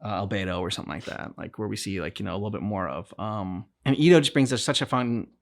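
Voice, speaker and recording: A man hosting a reaction video, thinking aloud in a casual, halting, repetitive way. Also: fairly low pitch, vocal fry. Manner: rambling, halting, repeats himself. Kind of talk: casual chat, reacting to a game.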